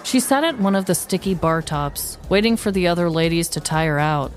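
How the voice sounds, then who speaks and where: A woman's voice: on the low side, normal speed, soft background music. A woman podcast host narrating a scripted comedic story.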